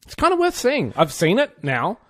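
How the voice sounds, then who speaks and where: A man's voice: high voice, huge pitch swings, normal speed, fairly bright tone, dry recording, clean studio sound. A man co-hosting a podcast in casual comedic chat, bantering with a mock-earnest, joking manner. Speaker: podcast co-host.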